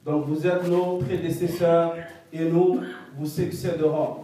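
A man's voice: fairly high pitch, little pitch movement, a little slow, warm tone, very echoey, distant mic, a little hiss or hum, rough recording. A man whose first language is not French, giving a religious lesson that relays a scholar's answers, and often stopping to search for the right French words. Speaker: religious teacher.

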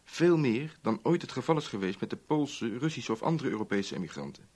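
An actor playing a sly, urbane chief inspector in a radio play, breezy and teasing in manner. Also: male voice, mid-range voice, big pitch swings, a little fast, a little mellow. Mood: confiding, measured. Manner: breezy, teasing, evasive old-boy chattiness. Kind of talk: Dutch radio play acting.

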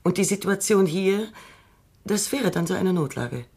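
A woman playing a cool, sharp-witted robot psychologist in a radio play, dry, precise and logical. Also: medium pitch, expressive, a little slow, slightly rough. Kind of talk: German radio play acting.

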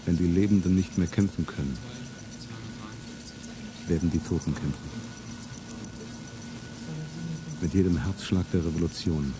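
Deep-voiced, defiant rebel played by a man. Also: noisy background, close to an everyday voice. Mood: solemn, exalted. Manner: grave, declamatory. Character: defiant rebel man, grim, declaring war on slaveholders. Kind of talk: solemn declamatory German radio play monologue. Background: music.

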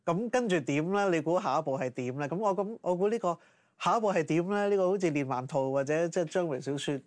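A man offers reflective commentary. His voice is a little high, and the sound is dry and close. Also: expressive, quick pace, no room sound. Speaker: interviewee.

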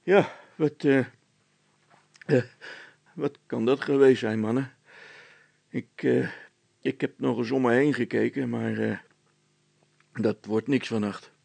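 A man's voice: medium pitch, fairly even intonation, slow pace, gravelly, long pauses, darkish tone, slightly rough, no echo, exaggerated voice. A man voicing a blustering village policeman who stammers, repeats himself and is secretly scared, in a storybook reading. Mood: shaken, sheepish.

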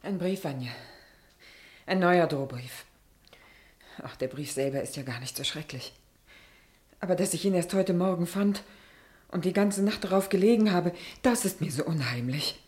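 Actress as very low-voiced anxious mother. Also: animated, normal speed, long pauses, darkish tone, close to an everyday voice. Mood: fearful, uneasy. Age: middle-aged. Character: anxious, pleading governor's wife and mother. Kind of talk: German radio drama acting.

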